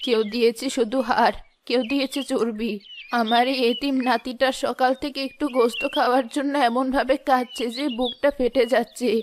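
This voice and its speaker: Elderly cartoon beggar woman, imploring and plaintive, fairly high voice, played by an actress. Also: steady tone, a little fast, a little hiss or hum, close to an everyday voice. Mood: pleading. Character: poor old beggar grandmother, pleading and grateful. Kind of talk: cartoon moral drama dialogue.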